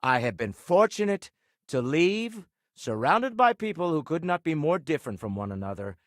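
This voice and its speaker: An actor playing a shrewd, proud older financier of space exploration in a video game, speaking in a dry, cultured, theatrical and measured way. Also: male voice, on the high side, sing-song, no room sound. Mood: reflective, sincere. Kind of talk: video game character dialogue.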